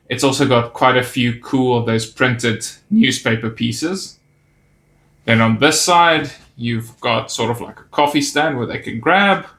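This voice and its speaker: A man's voice: mid-range voice, a bit bright. A man narrating a casual toy set review, pointing out details with easy enthusiasm. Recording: roomy echo on the voice.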